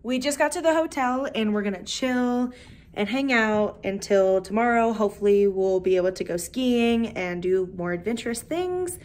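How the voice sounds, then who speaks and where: A woman's voice: on the high side, normal speed. Cheerful young woman vlogging her trip. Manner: cheerful, chatty, excitable. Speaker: travel vlogger. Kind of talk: casual travel vlog narration. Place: outdoors in snow, in a car, hotel room. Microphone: phone held at arm's length. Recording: music playing under the voice. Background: background music.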